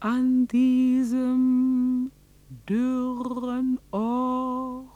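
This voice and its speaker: A high-pitched old countrywoman in a radio play, stubborn and curt. The recording is close and dry, and she insists on her rights with fierce defiance. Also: monotone, very slow, mellow, no echo, lo-fi sound. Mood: slow, incantatory. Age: older. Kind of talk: German radio drama acting.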